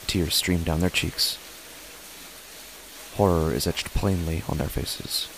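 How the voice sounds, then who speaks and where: A man playing an uneasy father who narrates his own horror story in a deliberate, measured way with dramatic pauses. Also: low-pitched, vocal fry, slight background noise, exaggerated voice, rough recording. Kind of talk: scripted first-person horror narration.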